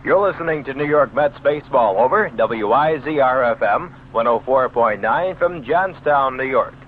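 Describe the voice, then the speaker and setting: A man making formal local radio station announcements, deliberately spelling out letters and numbers. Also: mid-range voice. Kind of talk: formal station identification and mail-order announcement. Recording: narrow-band old radio with faint noise.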